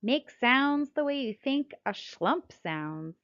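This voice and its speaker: A middle-aged American woman reads a scripted text aloud as a children's author, in a deliberate, expressive storytelling manner. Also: high voice. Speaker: children's book author reading her own book. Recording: dull, lo-fi sound.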